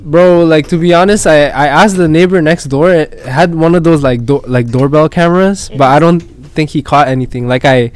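A man guest on a casual podcast who talks in slang and stumbles over his words, saying he stutters. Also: fairly high pitch. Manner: slangy, halting, says he stutters. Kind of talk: casual chat.

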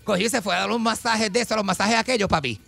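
Puerto rican guy rattling off stories real fast, high gravelly voice, soft music under it. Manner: animated, uses sound effects and word repetition. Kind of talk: casual chat, storytelling.

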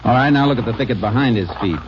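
An actor playing a shrewd, matter-of-fact Texas Ranger investigator in a 1950s radio drama. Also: male voice, medium pitch, expressive, rapid-fire, natural voice. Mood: businesslike, observant. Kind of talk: 1950s radio drama dialogue and first-person narration. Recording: muffled, hissy 1950s radio recording.

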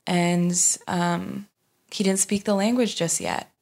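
A young woman from Sweden, a podcast guest, telling her family story in a relaxed, casual way with frequent ums and uhs. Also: on the low side, slow pace, gravelly.

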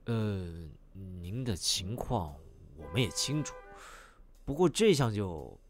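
A man's voice: lowish voice, sing-song, slow, exaggerated voice. A man playing a polite, fussy scientist who explains things formally and with awkward tact in an audio drama. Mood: exasperated. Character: polite, fussy male scientist acting as matchmaking consultant. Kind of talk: Chinese audio drama acting. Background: music.

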